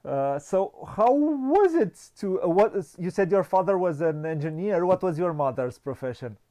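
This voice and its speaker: Host, male, slightly high voice, hesitant delivery with frequent pauses and restarts. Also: big pitch swings, warm tone. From Romania. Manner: hesitant, many fillers and restarts. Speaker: interview host. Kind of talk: casual video interview.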